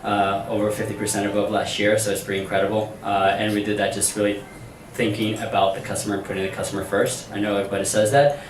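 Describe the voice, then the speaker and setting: A man's voice: on the low side, level tone, quick pace. A man from Brazil with a Brazilian Portuguese accent, speaking casually with fillers as a panelist. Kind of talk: panel discussion. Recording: echoey room, distant mic, faint noise.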